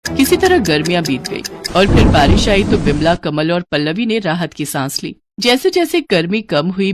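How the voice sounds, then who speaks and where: A woman's voice: fairly low pitch, background noise. A woman narrating a scripted moral story as a storyteller.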